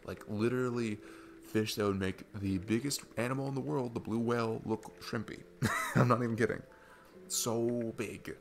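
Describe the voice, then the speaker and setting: A man's voice: medium pitch, steady tone, moderate pace, creaky, faint music behind, natural voice. A man playing a sweet, earnest, geeky single dad on a date, rambling and hesitant in an intimate ASMR roleplay. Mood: excited, earnest.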